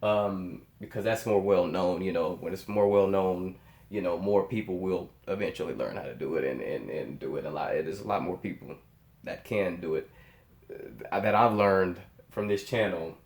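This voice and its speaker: A lowish-voiced American man records reaction commentary in a roomy, echoing space. He talks casually and emphatically, repeating phrases for weight and often pausing to hunt for the right word. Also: darkish tone. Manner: halting, searching for words. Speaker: music reaction channel host. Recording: echoey, roomy sound.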